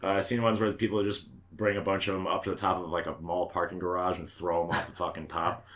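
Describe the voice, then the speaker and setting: An American man co-hosting a podcast in casual, sweary banter, blunt and mocking, quick to laugh. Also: low-pitched, level tone, a bit bright, a little hiss or hum. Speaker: podcast co-host.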